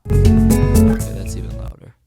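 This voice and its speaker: A man streaming a visual novel, chatting casually and reading the text aloud, sometimes stumbling over and repeating phrases. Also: unhurried. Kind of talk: casual game commentary and read-aloud of on-screen text. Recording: music playing behind the voice. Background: game music.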